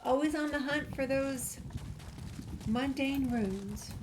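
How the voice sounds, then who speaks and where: A woman's voice: high-pitched, slow pace. A woman giving casual, amused gameplay commentary, chatting to the characters as she plays. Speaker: gamer playing and commenting. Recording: commentary over noisy game ambience.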